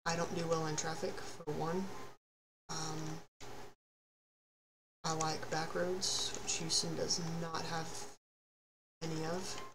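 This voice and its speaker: A teenage guy from Texas with a high, youthful voice, chatting loosely while he plays a game on stream. He rambles and pokes fun at himself in a flat, level tone. His headset mic picks up a clear hiss of background noise. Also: takes it slowly, long pauses. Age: late teens. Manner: rambling, self-deprecating, laid-back. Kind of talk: casual live-stream chat while playing. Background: ambient noise, thunder outside.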